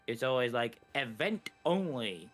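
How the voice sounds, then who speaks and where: Male co-commentator, medium pitch, casual explanatory gaming talk, faint music behind. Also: very animated, unhurried, a bit bright.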